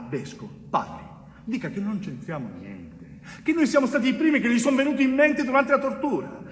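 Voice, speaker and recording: A man acting a wrongly jailed young bricklayer in a theatrical monologue, anguished and pleading, speaking in halting, emphatic phrases. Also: high voice, a bit bright. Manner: dramatic, halting short phrases with emphatic repetition. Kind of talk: theatrical monologue, stage narration. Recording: reverberant echoing hall, music underneath.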